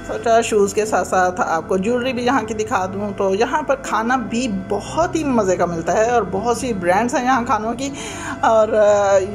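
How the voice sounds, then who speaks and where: A woman from Pakistan, narrating a friendly, chatty voice-over tour of a shopping mall for her vlog. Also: a little high. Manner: friendly, chatty, guiding the viewer along. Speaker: vlogger. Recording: voice-over with loud background music.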